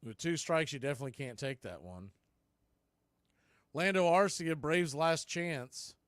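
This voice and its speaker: A man hosts a baseball broadcast with a slightly high-set voice and a dry, close sound. He calls the action in clipped phrases, leaving deliberate pauses between them. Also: fairly bright tone, no room sound. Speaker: baseball play-by-play host. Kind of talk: live play-by-play commentary mixed with history recap.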